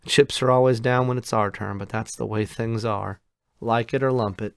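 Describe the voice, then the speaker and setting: A man's voice: medium pitch, steady tone, normal speed, vocal fry, smooth, dry recording, clean studio sound, natural voice. A man voicing a tough but good-natured teenage greaser boy who talks in blunt, slangy, drawled lines. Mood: easygoing.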